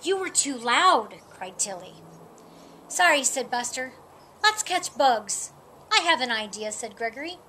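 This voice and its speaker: A middle-aged woman reads a children's storybook aloud in a sunny yard, her high voice swinging widely. She takes her time over each line. Faint outdoor noise sits behind her. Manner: slow, lively, lifts her voice for animal lines. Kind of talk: reading a picture book aloud. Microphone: camera a few feet away.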